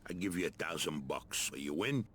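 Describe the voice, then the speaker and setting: An actor playing an arrogant American dockside mob boss in a crime game, bullying and full of streetwise slang. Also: male voice, very deep voice, lively intonation, brisk, slight background noise. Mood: gruff, businesslike. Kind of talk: gangster drama acting. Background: loud music.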